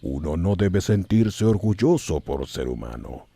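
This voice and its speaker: Slow, gravelly old wizard. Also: male voice, low voice, big pitch swings, mellow, heavily acted. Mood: gently instructive. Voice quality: gravelly, husky. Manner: slow, measured, sententious. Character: wise, calm old male wizard mentor, indulgent. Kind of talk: audiobook character acting.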